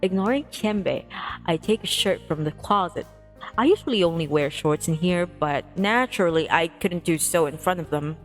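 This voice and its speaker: A woman's voice: lowish voice, moderate pace. A woman streamer narrating a visual novel aloud in a dramatic read-aloud style. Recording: music playing behind the voice. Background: music.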